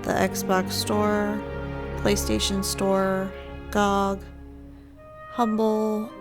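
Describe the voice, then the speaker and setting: A woman's voice: mid-range voice, completely flat delivery, drawn-out words. A woman hosting a let's play with casual, chatty game commentary and wry asides. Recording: loud music behind the voice.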